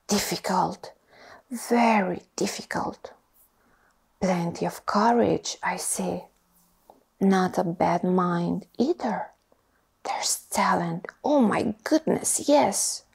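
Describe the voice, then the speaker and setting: Lady doing a wise old talking hat, breathy husky whisper, slow and pondering. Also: fairly low pitch, darkish tone, heavily acted. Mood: musing. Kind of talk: ASMR storybook reading.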